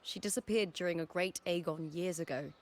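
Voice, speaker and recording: A woman's voice: on the low side, fairly quick, lightly acted. A woman as a fiery, breezy young gladiator celebrity. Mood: earnest, thoughtful. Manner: animated, breezy, casually chatty. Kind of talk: video game character acting.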